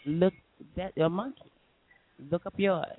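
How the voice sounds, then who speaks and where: A woman's voice: fairly low pitch, a little slow. Emphatic woman radio host on a phone line. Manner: emphatic and defiant, repeats herself. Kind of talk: late-night call-in radio chat. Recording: tinny phone line with faint hiss.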